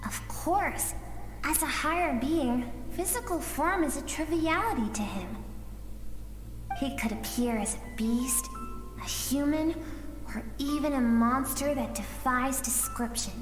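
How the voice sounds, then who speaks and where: Slow, reverberant, poised storyteller. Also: female voice, high-pitched. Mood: poised, knowing. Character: composed female guide narrating an ominous past. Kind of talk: scripted game dialogue, storytelling. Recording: long echoey reverb with music behind.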